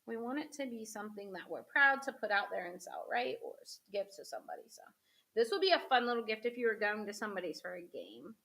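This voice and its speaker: Sniffly craft lady talking thru her project, lively up and down voice. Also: medium pitch, fast, gravelly. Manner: chatty, talks to viewers as she works. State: sniffly with a cold. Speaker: craft host. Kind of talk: casual craft demo chat.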